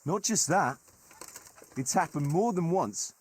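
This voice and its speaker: An actor playing a gruff, wry medieval nobleman turned mercenary leader in a video game, telling stories in colloquial, old-fashioned turns of phrase with a husky voice. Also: on the high side, lively intonation, takes it slowly, heavily acted. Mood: wry, admiring. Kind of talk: dramatic storytelling in a video game cutscene.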